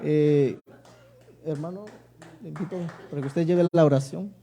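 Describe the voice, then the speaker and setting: A man with a southern Mexican accent preaching and reading scripture to a church in an earnest, deliberate manner. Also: a little high, vocal fry, warm tone. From Oaxaca, Mexico. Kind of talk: sermon with Bible reading.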